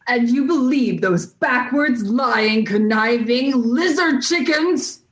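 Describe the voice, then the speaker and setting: A woman's voice: a little high, animated, slow pace. A woman playing a cold, suspicious military aide in a comedy sci-fi radio play, speaking in a clipped, formal, probing way. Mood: distrustful.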